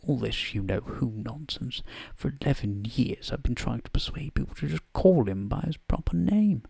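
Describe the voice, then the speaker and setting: A man voicing a gentle, calm old male wizard in a bedtime story, speaking softly in a measured, unhurried way. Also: mid-range voice, gravelly, breathy edge, dry recording, full character voice. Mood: patient, reasoning. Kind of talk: softly voiced bedtime story character acting.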